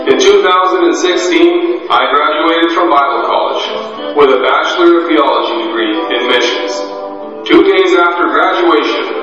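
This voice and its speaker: A man whose first language is Spanish, a missionary giving an earnest, measured scripted testimony narration. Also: a little high. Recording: echoey, distant, muffled, with music. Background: background music.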